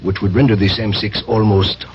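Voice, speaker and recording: A man's voice: on the low side, fairly even intonation, close to an everyday voice. Menacing Soviet agent male actor, methodical and commanding, radio drama sound with muffled tone and faint noise. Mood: coldly menacing. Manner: menacing, deliberate, authoritative. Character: cold, methodical Russian secret police villain. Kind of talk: 1970s radio drama acting. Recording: muffled, noisy old radio recording.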